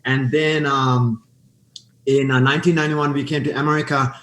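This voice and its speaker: A man's voice: medium pitch, flat delivery, normal speed, a bit bright. A man with a Sri Lankan accent tells his story as a podcast guest, his speech eager and full of restarts. His voice carries a roomy echo, as if he is on a call from an echoing room. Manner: eager, repeats words, starts and restarts. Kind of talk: storytelling interview answers.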